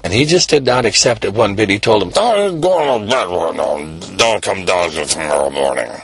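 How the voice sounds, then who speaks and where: A man's voice: deep voice, lively intonation, normal speed, creaky, fairly bright tone, heavily acted. A man doing a stern, scolding father. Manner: scolding, curt.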